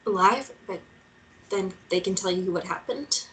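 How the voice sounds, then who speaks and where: A woman's voice: medium pitch, measured pace, a bit bright, natural voice, rough recording. A woman playing an earnest, nervous woman searching for a missing relative, reading hesitantly and naturally at a table read. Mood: shocked, curious. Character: earnest, nervous young woman seeking her missing aunt.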